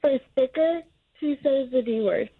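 A woman's voice: high-pitched, measured pace, slightly rough. A woman calling in to a radio show over the phone, casually sharing an anecdote with the hosts. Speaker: phone-in caller, mother. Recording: tinny narrow-band phone line.

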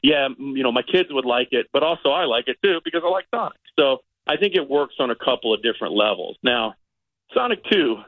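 A man's voice: mid-range voice, animated, brisk, no room sound, phone-quality sound. A middle-aged man chatting casually and in a rambling way as a film critic on a radio show, often repeating himself for emphasis. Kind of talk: casual movie review chat.

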